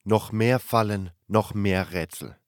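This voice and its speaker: A man's voice: on the low side, slow. A man reading scripted fantasy narration as an audiobook narrator, with music behind him.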